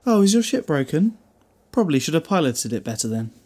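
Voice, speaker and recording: A man's voice: fairly high pitch, big pitch swings, a little fast, darkish tone. A British man playing a glib, protective time-travelling hero in an audio drama, wry and chatty with a scolding streak. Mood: mocking, stern. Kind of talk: fan audio drama acting.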